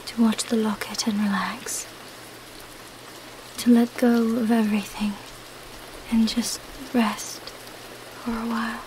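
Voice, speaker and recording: A woman's voice: fairly high pitch, background noise. A woman playing a cunning female vampire who feigns weakness, in an ASMR roleplay.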